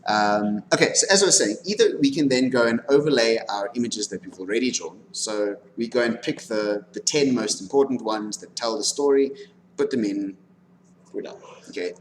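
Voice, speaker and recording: A man lecturing informally on animation software, talking through a live demonstration and often checking in with his students. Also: mid-range voice, moderate pace, low-quality recording. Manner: instructive, frequently checking in with "okay". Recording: background noise, room ambience.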